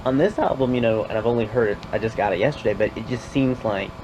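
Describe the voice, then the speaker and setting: A man with a lowish voice fires off quick, halting interview questions, padding them with "um"s and "you know"s. His voice comes through a hissy, noisy interview tape. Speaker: journalist interviewer.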